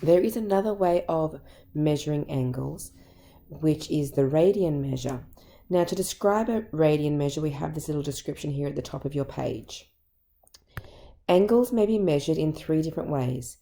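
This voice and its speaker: A woman teacher with an Australian accent and a croaky voice, patiently explaining a maths lesson step by step. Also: low-pitched, moderate pace, dark tone. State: croaky voice from illness.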